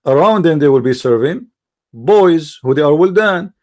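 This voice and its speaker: A man hosting a heated religious debate in English, which is not his first language; Arabic is. He talks in a fairly high voice. He presses hard, repeats his words and keeps firing questions. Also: expressive, normal speed, darkish tone. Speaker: debate host.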